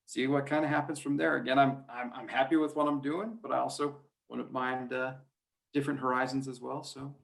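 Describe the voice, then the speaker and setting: A man's voice: mid-range voice, flat delivery, brisk, gravelly. A man who works as a play-by-play announcer, chatting casually and without affectation in an interview. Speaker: high school sports radio play-by-play announcer.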